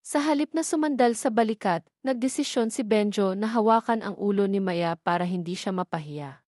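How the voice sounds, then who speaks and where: A woman with a Filipino accent reading scripted story narration in a formal, measured manner. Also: medium pitch, a little mellow, dry recording, clean studio sound.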